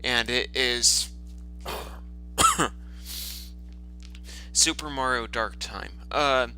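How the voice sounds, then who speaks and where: A man's voice: mid-range voice, drawn-out words, pushed and bright, faint music behind, poor audio. A man doing a sarcastic, foul-mouthed dramatic reading with exasperated commentary, his throat affected by a lingering cough. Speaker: host of a storytime reading series.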